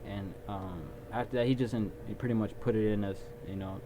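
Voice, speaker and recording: A young man being interviewed about his video production company, talking in a relaxed, rambling way with lots of ums. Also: on the low side, brisk. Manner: relaxed storyteller, many ums and restarts. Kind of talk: casual interview. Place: small recording studio. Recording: noisy background.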